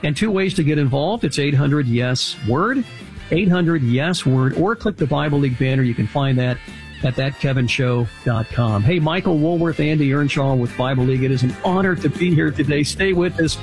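A man's voice: a little high, normal speed, faint music behind. A man hosting a radio show, telling an earnest story and tagging his points with "right?". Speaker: radio host. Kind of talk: radio appeal conversation. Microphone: studio microphone. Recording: dry radio studio.

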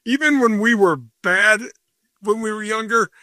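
A man's voice: high voice, normal speed, sharp tone, no echo. A man in his sixties hosting a casual interview, asking friendly questions that ramble and restart. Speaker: host.

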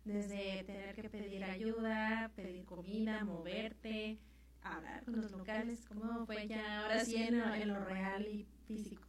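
A woman's voice: mid-range voice, fairly even intonation, a little slow, heavy reverb, far from the mic. A woman hosting a radio interview, asking conversational questions. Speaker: host.

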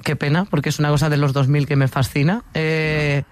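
A woman's voice: low voice, flat delivery, fairly quick, darkish tone, slight background noise. A woman hosting a casual interview who asks long, rambling questions in a chatty way. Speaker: interviewer, host.

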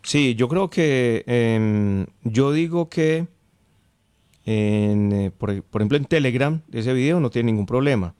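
A man's voice: mid-range voice, slow, a little mellow, clear, resonant tone, no room sound. A man with a Colombian accent hosting a radio show in an informal, chatty way, often repeating his phrases. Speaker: radio host. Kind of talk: casual late-night radio chat with listeners.

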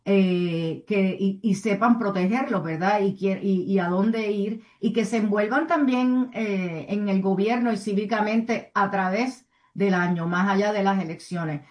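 A woman speaking as a community advocate in an interview, earnest and somewhat halting, often adding tag questions. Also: medium pitch, darkish tone, dull audio. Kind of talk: interview answers, civic advocacy.

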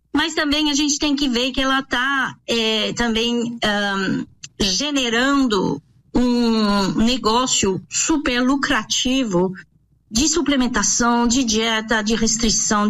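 Woman, middle-aged, French accent, native French speaker, slightly high voice, expert interviewee, halting delivery, word repetitions. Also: a bit bright, a little hiss or hum. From France. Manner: halting, searching for words, repeats syllables and words. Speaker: interview guest, nutrition expert and author. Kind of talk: conversational expert explanation in an interview.

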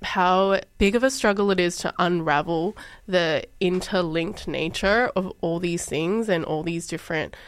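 A woman's voice: on the low side, a little slow, hardly any pauses, smooth. A woman co-hosting a podcast, asking a long, winding interview question. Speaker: podcast co-host.

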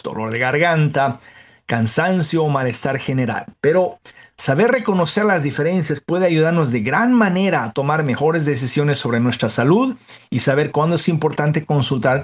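A man hosting a health interview program, introducing topics and welcoming his guest in a chatty way. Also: fairly high pitch. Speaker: health show host.

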